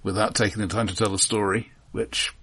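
A man co-hosting a film podcast, discussing in a casual but measured, analytical way. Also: on the low side, level tone, a bit bright, slight background noise.

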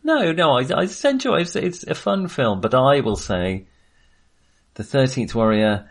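Man, very lively, swooping intonation. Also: medium pitch, normal speed. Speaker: film podcast co-host. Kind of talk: casual film discussion.

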